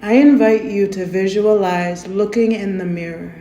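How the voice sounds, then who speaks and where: A woman leading a guided meditation in a gentle, soothing, unhurried way, softly inviting the listener to breathe. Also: mid-range voice, a little hiss or hum. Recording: roomy echo with faint music.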